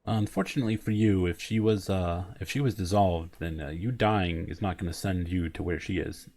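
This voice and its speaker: A man's voice: low voice, normal speed, a little mellow. A man giving casual, wry and offhand commentary as he plays a video game. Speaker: streamer playing a video game.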